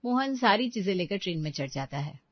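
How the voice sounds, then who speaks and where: A woman's voice: mid-range voice, sing-song, a little fast, no echo. Woman narrating a storybook. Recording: dull dry voice-over.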